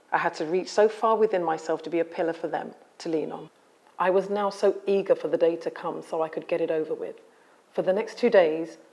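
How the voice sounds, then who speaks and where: A woman author speaking in a measured, articulate and solemn way about personal experience. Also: fairly low pitch, darkish tone. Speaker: author and guest. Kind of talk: reading a memoir aloud, then interview talk.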